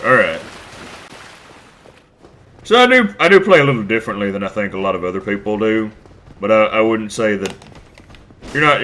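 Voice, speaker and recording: A man's voice: low-pitched, bright, forceful tone, slight background noise. A man commenting casually on his gameplay, rambling, crude and self-deprecating, a bit stuffed up from a cold. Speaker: let's play host.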